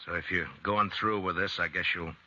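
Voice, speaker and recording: An actor playing a steady, compassionate frontier marshal in a 1950s radio western, firm, measured and fatherly. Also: deep voice. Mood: firm, grave. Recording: muffled, hissy 1950s radio recording.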